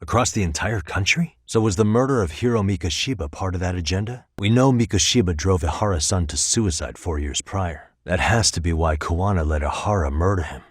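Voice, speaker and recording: Deep-voiced, composed American detective. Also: male voice, breathy edge, no echo, clean studio sound. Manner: controlled, pointed, dryly sardonic questioning. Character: male detective, composed, probing, wry and tough. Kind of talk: video game voice acting, dramatic interrogation dialogue.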